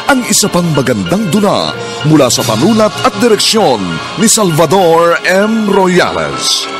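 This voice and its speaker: A man's voice: a little high, huge pitch swings, unhurried. A man with a Filipino accent announcing a radio drama in a grand, solemn, theatrical scripted style. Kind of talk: scripted, theatrical program introduction. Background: music.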